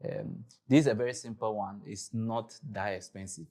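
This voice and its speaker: Male presenter, Ghanaian accent, lowish voice, informal explainer delivery for gear tutorials. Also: fairly even intonation, normal speed, a little mellow. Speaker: presenter of a video production tutorial. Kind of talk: informal explanatory product overview.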